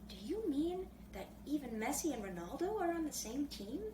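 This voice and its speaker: A woman voicing a chattering, stubborn, excitable seven-year-old boy in a storybook reading. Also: high-pitched, normal speed, voice pushed up. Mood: excited, insistent. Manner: jabbering, squealing, insistent. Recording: roomy, distant mic, clearly noisy background.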